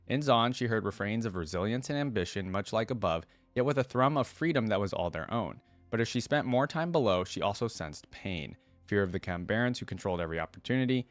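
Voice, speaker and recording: Low-voiced man reading and reacting. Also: no room sound. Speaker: reaction video host. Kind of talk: reading lore aloud with casual commentary.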